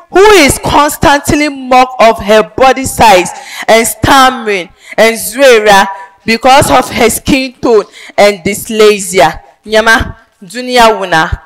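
A young woman with a Ghanaian accent performing a theatrical advocacy monologue on a large indoor stage, emphatic and declamatory with sudden loud swells. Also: on the high side, poor audio. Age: young adult. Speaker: pageant contestant.

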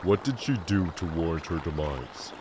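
A man's voice: deep voice, animated, normal speed, background noise. A man playing a stern, commanding leader in an audio drama, firm and admonishing. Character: stern, commanding male leader scolding fighters.

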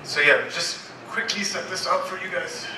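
A man's voice: high-pitched, moderate pace. A man whose first language is Urdu, speaking casually and hesitantly on a festival panel in a large hall. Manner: loose, hesitant, many fillers. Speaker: panelist musician. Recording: echoey hall from far off, noisy.

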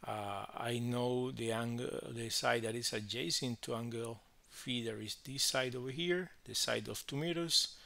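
A man teaching physics, explaining a worked problem step by step in halting phrases with frequent verbal checks. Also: mid-range voice, gravelly, pushed and bright.

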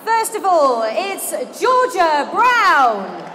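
A woman working as ring announcer in a large indoor exhibition hall, calling out names slowly and clearly over a public-address system. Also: extremely high pitch, sing-song, a bit bright. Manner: slow, declamatory announcing of names. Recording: crowd and hall noise.

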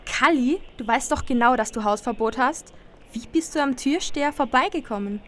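A woman's voice: sits high, moderate pace, a little hiss or hum, natural voice. An actress playing a flirty, no-nonsense female bartender in a parody detective audio drama. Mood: reproachful.

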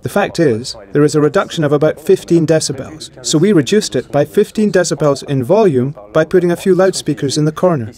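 Calm man explaining over interview. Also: on the high side, brisk, few pauses.

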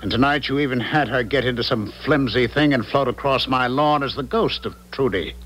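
A man's voice: medium pitch, a little fast, a bit bright, lightly acted. An American actor in a 1970s radio drama playing a paranoid, coldly menacing businessman with pointed, insistent questioning. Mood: accusing. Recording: noisy 1970s radio broadcast recording.

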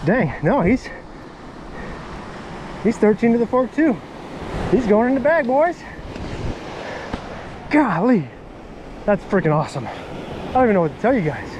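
A man talking casually to viewers in an excitable, folksy, slangy way, like a fishing vlogger, recorded outdoors on an action camera. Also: sits high, measured pace, long pauses. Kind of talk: casual fishing vlog commentary. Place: outdoors on an open sea beach. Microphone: body-worn action camera. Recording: windy beach, surf and wind noise. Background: wind and breaking waves.

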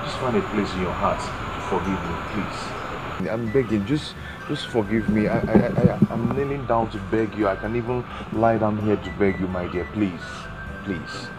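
A man's voice: on the low side, animated, normal speed, loud music behind the voice, lightly acted, poor audio. An actor with a Nigerian accent playing a remorseful man who stammers as he begs for forgiveness. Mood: pleading. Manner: pleading, stammering. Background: loud film score.

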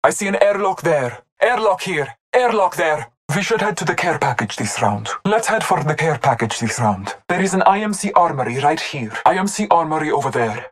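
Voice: medium pitch, a bit bright, lightly acted. An actor voicing a solemn, honorable hunter devoted to Norse gods in a video game, speaking in formal, ceremonious phrases. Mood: focused, commanding. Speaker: playable game character. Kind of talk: video game voice lines, short barks and proclamations.

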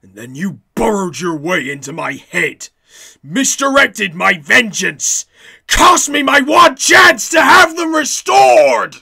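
A vengeful undead ninja from a fighting game. He is menacing and forceful, with drawn-out shouts that climb high in grief and rage. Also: very animated, gravelly, husky, exaggerated voice. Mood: anguished rage. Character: vengeful, grieving undead ninja warrior, male.

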